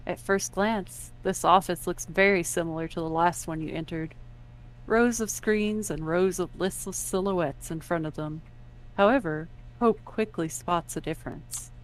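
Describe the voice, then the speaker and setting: A woman's voice: on the low side, a little slow, a little hiss or hum, rough recording. A woman reading scripted second-person story narration as the narrator. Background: music under the voice.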